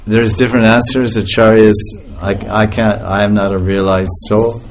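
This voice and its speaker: A man's voice: on the low side, normal speed, a little hiss or hum. A man giving an informal spiritual talk to a group, laughing often as he tells stories. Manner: laughing often, animated storytelling.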